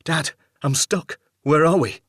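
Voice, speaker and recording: A man voicing a frightened adult son trapped in the dark, urgent and trying to keep control in an audiobook story. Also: fairly high pitch, unhurried, no echo, studio quality, pitched above the performer's own voice. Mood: panicked. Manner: urgent, panicked, trying to reassure.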